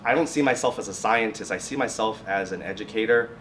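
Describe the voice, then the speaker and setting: Halting low-voiced man, echoey and distant. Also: fairly bright tone. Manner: halting, self-correcting, restarts phrases. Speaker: high school biotech and science teacher, guest speaker. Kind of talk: informal presentation with question and answer. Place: classroom or lab at a college. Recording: echoey room, distant mic, faint noise.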